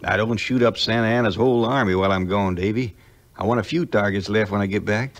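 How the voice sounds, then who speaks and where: A man's voice: on the low side, fast, no room sound, close to an everyday voice. A man acting a cocky, loyal backwoods sidekick with an American rural twang in a 1950s audio play. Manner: folksy, jaunty.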